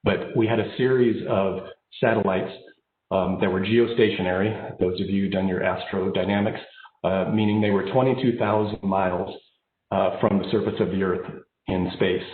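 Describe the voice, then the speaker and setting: A man's voice: deep voice, steady tone, moderate pace. An American man in his fifties with a slight head cold, giving an informal, personal keynote speech remotely. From born in Japan, raised overseas, then United States. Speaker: keynote speaker, engineering executive. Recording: phone-like narrow band, roomy echo.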